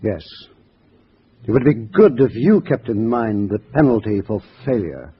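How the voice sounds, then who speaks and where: An actor playing a cold, menacing communist party boss, stern, measured and formal, in a 1950s radio drama. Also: male voice, on the low side, very animated, husky, heavily acted. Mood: cold, threatening. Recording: muffled vintage radio recording.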